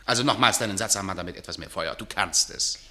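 Exasperated middle-aged playwright in a radio play, deep voice, really intense and bossy, stagey delivery. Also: male voice, fast, sharp tone. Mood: demanding. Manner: commanding, declamatory. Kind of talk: theatrical radio drama acting.

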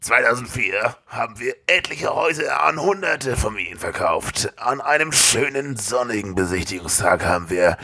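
Man playing a gravelly, rambling shark survivor. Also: medium pitch, expressive, normal speed, few pauses, bright, forceful tone, exaggerated voice. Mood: grim, dramatic. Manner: dramatic, rambling storytelling. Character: big, rambling shark survivor in a top hat.

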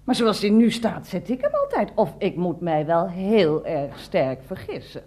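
An actress playing a huffy, contrary cleaning woman in a radio comedy drama, quick to contradict her rival. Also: lowish voice, sing-song, dark tone. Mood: indignant, contrary.

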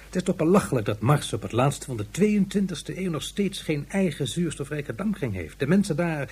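A man playing an earnest scientific official, formal and deliberate with some hesitation, in a science fiction radio play. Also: on the high side, big pitch swings, darkish tone, husky, full character voice. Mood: indignant, puzzled. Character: earnest male scientific official reporting to superior.

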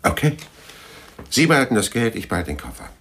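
A man's voice: fairly low pitch, sing-song, a little fast, a bit bright, breathy edge, exaggerated voice. An actor playing a laconic, world-weary private detective who narrates his story dryly in a noir radio drama. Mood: guarded, laconic. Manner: dry, laconic. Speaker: narrator and lead character.

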